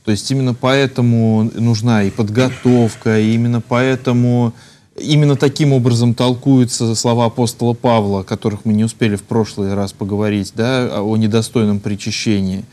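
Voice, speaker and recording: A man's voice: medium pitch, steady tone, dark tone. Male talk show host asking questions. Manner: measured questions with hesitations. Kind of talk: hosting a studio talk show. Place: TV studio. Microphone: lapel.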